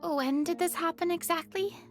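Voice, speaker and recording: A woman voicing a polite, considerate and curious young woman in a video game, gentle and articulate. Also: very high-pitched, normal speed, soft background music.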